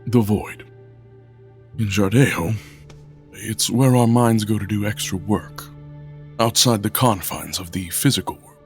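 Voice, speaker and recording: A man playing a weary, reserved alien in an audio drama, speaking huskily in a formal, halting way. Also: lowish voice, soft background music. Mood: grave, confiding.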